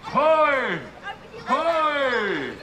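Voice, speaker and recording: A man voicing a brave, mighty legendary fisherman hero in live storytelling, calling commands in urgent, drawn-out cries. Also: very high-pitched, big pitch swings, recorded from a distance, crowd noise, voice pushed up, exaggerated voice. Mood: urgent commanding. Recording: echoey, noisy outdoor live recording.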